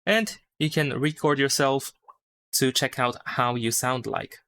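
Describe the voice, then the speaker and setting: A man's voice: medium pitch, a little slow, a bit bright. A man giving a casual, unscripted step-by-step phone tutorial, thinking aloud with some hesitation. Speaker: tutorial host. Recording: clear background noise.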